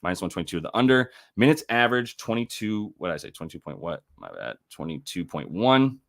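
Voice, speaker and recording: A man's voice: sits low, very animated, quick pace, vocal fry, a bit bright. A young man hosting a casual sports betting livestream, thinking aloud and correcting himself as he works through numbers. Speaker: sports betting show host.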